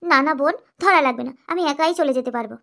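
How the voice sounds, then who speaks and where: A woman's voice: sits high, fast, vocal fry, sharp tone, heavily acted. A woman doing a whiny, bossy eldest wife. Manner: complaining, nagging, dramatic groaning. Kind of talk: cartoon voice acting.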